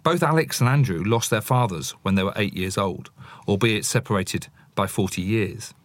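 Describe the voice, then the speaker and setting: Lowish-voiced man hosting a podcast. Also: lively intonation, normal speed, dry recording. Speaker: podcast host, interviewer. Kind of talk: podcast presenting and interviewing.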